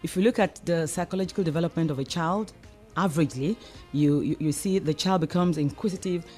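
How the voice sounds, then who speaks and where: A woman's voice: fairly low pitch, quick pace, darkish tone, faint music behind. A woman with a Nigerian accent speaking as a discussion guest, explaining earnestly and repeating phrases for emphasis. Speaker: discussion guest, community advocate.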